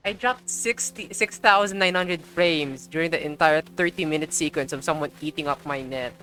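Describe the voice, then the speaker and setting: A woman game streamer with a slightly low but bright, forceful voice. She talks in a casual, blunt and playful way, with faint music behind her. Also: normal speed. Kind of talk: casual chat while live streaming a game.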